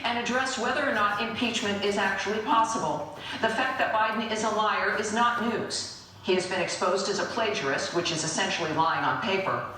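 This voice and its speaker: An American woman hosts a political talk show in a room full of echo. She delivers scripted commentary in a mid-pitched voice, emphatic and indignant. She sounds set back from the microphone, with faint noise. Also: brisk, sharp tone, distant mic. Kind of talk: scripted opinion monologue.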